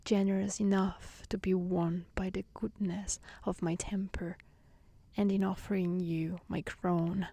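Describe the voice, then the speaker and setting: A woman voicing a grateful, courteous young fairy-tale prince in a breathy, hushed voice. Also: mid-range voice, no echo.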